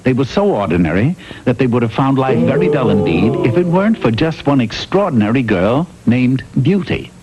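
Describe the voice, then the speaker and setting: Man acting a lively, droll fairy-tale narrator. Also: medium pitch, a little fast, loud music behind the voice, natural voice. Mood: droll storytelling. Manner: droll, theatrical. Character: droll, mock-earnest male fairy-tale storyteller. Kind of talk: scripted comic fairy-tale narration, classic cartoon. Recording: noisy old TV cartoon recording.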